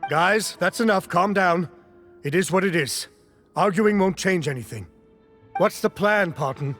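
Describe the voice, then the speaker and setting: A firm impatient escapee, higher pitch, soft music behind. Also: male voice, unhurried. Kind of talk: game cutscene voice acting.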